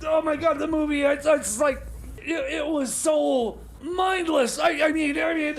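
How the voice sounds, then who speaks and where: A man acts out a frazzled male mechanic for a comedy radio play. The voice is extremely high for a man and full of creak. He stammers, repeats himself and pleads in exasperation. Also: fairly even intonation, unhurried. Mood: anguished. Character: frazzled, pleading, chronically late male time machine mechanic. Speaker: sketch character on a radio show.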